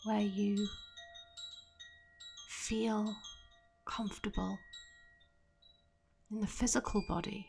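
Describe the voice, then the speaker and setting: A woman leading a guided meditation in a soft, soothing, gentle voice, leaving long pauses and trailing off breathily at the ends of phrases. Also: medium pitch, level tone, vocal fry. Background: soft meditation music with chimes.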